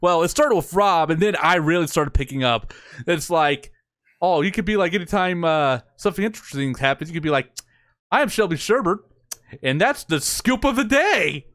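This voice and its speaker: Rambling man, slightly high voice. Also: lively intonation, normal speed, a bit bright. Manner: rambling, full of "like" and "it's like". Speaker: podcast co-host. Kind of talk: casual podcast chat.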